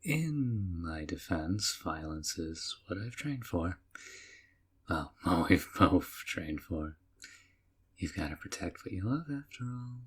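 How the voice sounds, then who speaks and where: A sweetly obsessive young man who has taken someone home to pamper them, as a male voice actor plays him. His voice sits very low and husky, and he speaks softly and coaxingly, often dropping to a whisper, in an audio roleplay. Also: animated, takes it slowly, heavily acted. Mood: fond.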